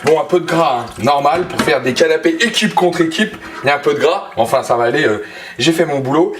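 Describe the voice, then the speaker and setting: A man in his thirties playing an excitable, football-obsessed dinner host who rambles with lots of fillers. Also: fairly high pitch, fairly quick, lightly acted. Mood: eager. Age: 30s. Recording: roomy, distant camera audio, faint noise.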